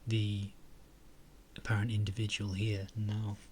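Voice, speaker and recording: A man leading a guided meditation and reflection in a soft, calm way, with phrases trailing into breathy murmurs. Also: lowish voice, unhurried, a little hiss or hum.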